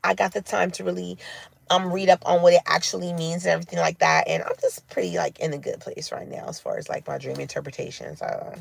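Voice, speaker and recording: A woman vlogger talking in a loose, rambling monologue full of fillers, her voice lowered from smoking cigars. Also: lively intonation, quick pace, gravelly.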